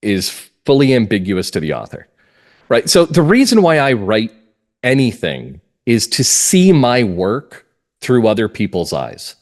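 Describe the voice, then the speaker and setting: A man speaking as a writer being interviewed, reflective and earnest, setting out his views in deliberate, emphatic phrases. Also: fairly low pitch, sing-song. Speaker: playwright and audio drama writer, interview guest.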